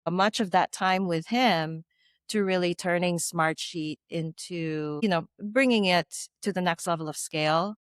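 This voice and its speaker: A woman's voice: lowish voice, a little slow, smooth, dry recording, clean studio sound. A middle-aged woman from the Philippines, a former tech executive giving articulate, reflective interview answers with the odd light laugh.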